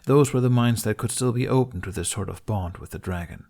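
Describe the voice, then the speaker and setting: A man reading a fantasy story as an audiobook narrator, in an expressive scripted style. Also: on the low side, a little fast.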